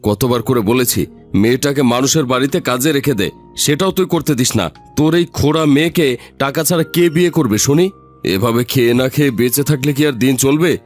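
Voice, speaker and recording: A man's voice: mid-range voice, brisk, a little mellow, faint music behind, close to an everyday voice. A man voicing a poor, hot-tempered husband in a cartoon, grumbling and plaintive, fretting and pleading. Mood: angry, grumbling. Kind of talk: cartoon voice-over dialogue.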